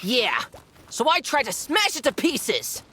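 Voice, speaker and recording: A woman's voice: sits high, wildly swooping pitch, a little slow, pushed and bright, slight background noise, full character voice. A woman voicing an earnest boy imaginary friend. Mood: explaining. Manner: earnest and pleading. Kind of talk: video game voice acting.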